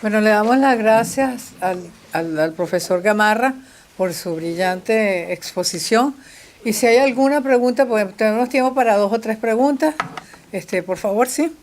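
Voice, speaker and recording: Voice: mid-range voice, normal speed, slight background noise. A moderator thanking the speaker and opening the floor for questions. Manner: formal, courteous. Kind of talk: forum moderation.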